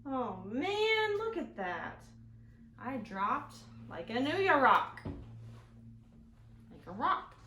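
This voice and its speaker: A woman hosting a children's storytime, reading aloud expressively and pausing to explain things and ask the children questions. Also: sits high, big pitch swings, bright, forceful tone. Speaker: children's librarian, storytime host. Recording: roomy echo, some background noise.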